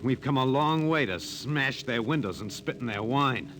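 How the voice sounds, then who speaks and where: A brooding male spaceship crewman played by an actor speaks with dramatic, earnest intensity. The medium-pitched voice has a 1950s radio style, with faint noise from the old recording. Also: expressive, moderate pace, natural voice. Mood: bitter, brooding.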